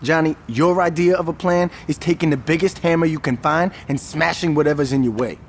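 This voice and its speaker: A man's voice: fairly high pitch, a little fast, slight background noise, natural voice. An actor playing a calculating, level-headed male gang strategist who lays out plans firmly and with exasperation. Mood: exasperated. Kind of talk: video game cutscene acting.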